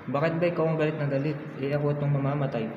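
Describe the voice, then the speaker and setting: A man's voice: on the high side, level tone, racing, slight background noise, close to an everyday voice. An amateur actor, a man, playing a resigned, condemned patriot who is tender to his family in a radio drama. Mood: calm, wry. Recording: muffled, echoey, loud music over voice.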